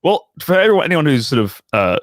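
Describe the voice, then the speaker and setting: A man's voice: a little high, big pitch swings, fast, no room sound, clean studio sound. A man hosting a podcast interview, upbeat and chatty, asking eager questions. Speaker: podcast host. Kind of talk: casual interview chat.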